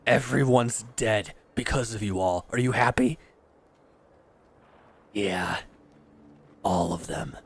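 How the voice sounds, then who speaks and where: A man's voice: lowish voice, takes it slowly, creaky, pauses often, slightly rough, exaggerated voice. A man playing a bitter, wounded villain who is grieving and accusing, speaking with weary sarcasm in a scripted audio roleplay addressed to the listener. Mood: angry, upset.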